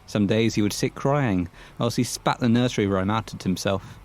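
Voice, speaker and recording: A man's voice: fairly low pitch, moderate pace, darkish tone. A man reading scripted narration for a horror audio play as its storyteller.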